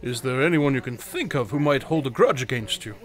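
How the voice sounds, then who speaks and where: Radio play lead: a man plays a wry, self-assured detective with an animated delivery. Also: medium pitch, a little fast, soft background music, close to an everyday voice. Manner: dry and teasing. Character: sly, confident male detective, dryly teasing.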